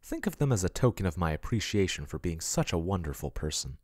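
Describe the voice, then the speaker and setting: A young man voicing an obsessive chatbot turned human lover in an ASMR roleplay, speaking softly and dotingly with a possessive edge. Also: sits low, very animated, quick pace, no echo, clean studio sound. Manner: soft, doting, then coolly possessive.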